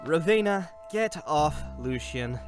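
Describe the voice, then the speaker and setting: A man's voice: on the high side, animated, slow, faint music behind. A man playing a smug fifteen-year-old twin brother in an audio drama, dry and taunting.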